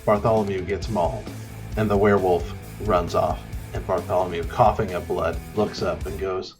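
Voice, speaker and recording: A man's voice: sits low, fairly even intonation, normal speed, a little mellow, faint music behind. A man talking casually as a player on a tabletop roleplaying podcast, describing his moves. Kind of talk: casual tabletop game talk.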